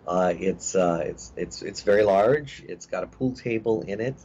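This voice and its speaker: A middle-aged actor talking in a casual interview, rambling with many fillers and restarts. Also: male voice, lowish voice, moderate pace, a little mellow, slightly rough. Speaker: actor guest. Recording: dull lo-fi audio with faint hiss.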